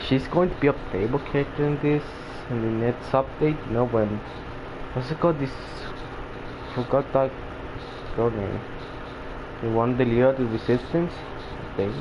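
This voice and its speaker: A man's voice: medium pitch, moderate pace, pauses often. A man giving casual, off-the-cuff commentary as he plays a video game, on a muffled, noisy recording. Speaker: gamer.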